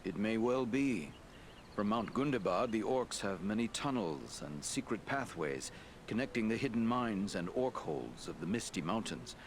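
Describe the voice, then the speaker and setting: A man playing a wise, courteous lord who counsels heroes in a fantasy game, measured, formal and grave. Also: fairly low pitch, lively intonation, a little hiss or hum. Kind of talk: dramatic fantasy game acting.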